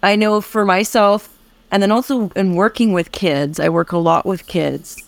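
A woman's voice: mid-range voice, normal speed, gravelly, no room sound. A woman from the United States hosting a conversational interview, empathetically relating to the guest. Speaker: podcast host.

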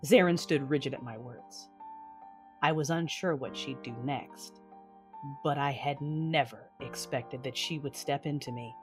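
A woman playing a proud, defiant Sith lord who narrates her own story in a science fiction audio drama, intense and deliberate. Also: very deep voice, over music. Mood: grave, tender.

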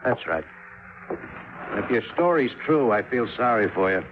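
An actor playing a skeptical, by-the-book homicide sergeant in a 1950s radio drama, with a blunt, terse, grudging manner. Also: male voice, medium pitch, very animated, unhurried. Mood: dismissive, firm. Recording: muffled, noisy 1950s radio recording.

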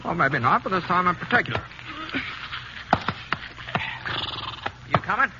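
An actor playing a laconic, steady Old West drifter who tells his tale in a folksy, understated way in 1950s radio drama style. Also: high voice, racing, very noisy. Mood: quietly insistent. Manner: folksy, understated, plain-spoken. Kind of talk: 1950s Western radio drama acting and first-person narration. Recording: muffled old 1950s radio recording, music behind.

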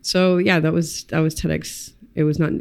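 Young woman from the states, designer on a podcast, gravelly creaky voice, swears casually, lots of "like". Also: low-pitched, level tone, moderate pace. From United States. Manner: candid, chatty, swears casually, many "like" fillers. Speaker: podcast guest, graphic designer. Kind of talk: casual conversation.

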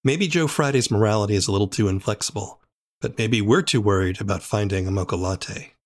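A man's voice: on the low side, fairly quick. A man hosting a podcast about audio drama. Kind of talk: podcast interview and scripted outro.

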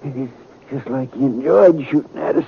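A man's voice: medium pitch, dull audio, lightly acted. Man acting a gasping, wounded frontier man. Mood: bitter, anguished. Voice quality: breathy, air-filled, halting voice. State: badly wounded, breathing hard. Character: wounded frontier robbery victim, bewildered and aggrieved, gasping. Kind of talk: 1950s Western radio drama acting. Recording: thin, noisy 1950s radio recording.

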